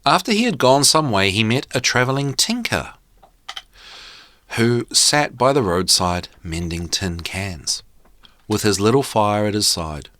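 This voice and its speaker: A man reading a fairy tale aloud as a storyteller, in a measured, theatrical way. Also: lowish voice, animated, pushed and bright, no room sound. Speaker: storyteller and host. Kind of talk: expressive fairy tale narration read aloud.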